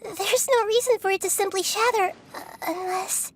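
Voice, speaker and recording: A woman voicing a small, wise, childlike goddess in a game, speaking softly in measured, earnest, formal phrases. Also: very high voice, very noisy. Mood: troubled. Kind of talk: animated game voice acting.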